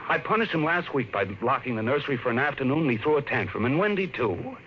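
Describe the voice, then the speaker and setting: A man's voice: medium pitch, lively intonation, fast, lightly acted. An actor playing a husband and father who reassures his frightened wife, in 1950s radio drama style. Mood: reasoning. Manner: soothing, reassuring. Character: anxious suburban husband and father. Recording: dull old radio broadcast with faint hiss.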